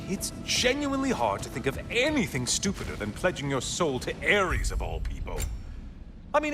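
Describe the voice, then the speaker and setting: An actor playing a bitter, mocking sun god who taunts with sarcastic remarks in video game dialogue. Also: male voice, medium pitch. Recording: game audio with loud music.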